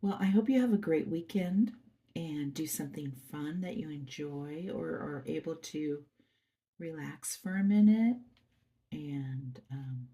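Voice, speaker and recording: A woman host chatting softly to viewers while doing a craft project, her nose stuffy, recorded on a phone at her workplace. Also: deep voice, animated, unhurried. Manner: soft, chatty, murmuring to viewers. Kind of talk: casual chat while crafting. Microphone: phone camera.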